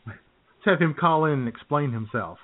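A man's voice: a little high, lively intonation, normal speed. A man chatting casually as a radio co-host in a joking, teasing manner, over a narrow phone-like line. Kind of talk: casual chat.

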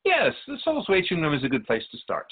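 A man playing a wise, patient otherworldly spirit in a radio drama, explaining things in a measured, gently teasing way. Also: a little high, huge pitch swings, phone-quality sound, full character voice. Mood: patient, explanatory. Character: Keeper of Souls' Purpose, wise otherworldly spirit, patient and curious.

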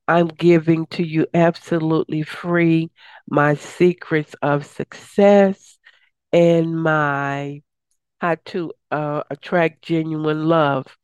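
A woman hosting a radio show, her voice raspy and hoarse from days of talking, chatty and upbeat. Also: a little mellow, no echo. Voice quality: raspy, deeper than usual. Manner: chatty, upbeat, promotional, with many uh fillers. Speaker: radio show host and success coach. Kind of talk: casual radio talk.

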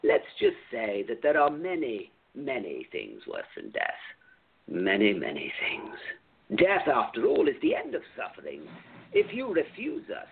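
A man playing the grandiose, menacing president of a diabolical club in a radio play, with drawn-out, emphatic delivery. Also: medium pitch, huge pitch swings, vocal fry, slightly rough, phone-quality sound, heavily acted.

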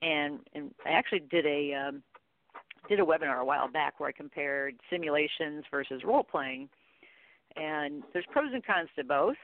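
Gravelly, low-voiced woman on a phone line. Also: moderate pace. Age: middle-aged. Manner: informal, rambling, acts out quoted dialogue. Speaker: guest expert, training company CEO. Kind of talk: conversational interview answers with anecdotes. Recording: tinny, dry phone-line audio.

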